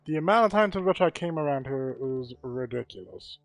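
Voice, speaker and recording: A man's voice: a little high, expressive, fairly quick, faint music behind. A man streaming a video game with casual commentary, full of sweary, exasperated asides.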